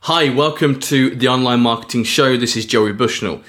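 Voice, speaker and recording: Guy hosting a podcast, reading his guest intro, mid pitch. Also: moderate pace, a bit bright, soft background music. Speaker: podcast host. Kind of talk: scripted interview intro.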